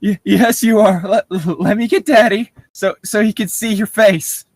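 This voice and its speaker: A man voicing a frightened mother in a comic horror story reading, halting and stammering nervously. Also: high-pitched, steady tone, no room sound, voice pushed up. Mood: nervous fear. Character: frightened, stammering mother humouring her deranged son.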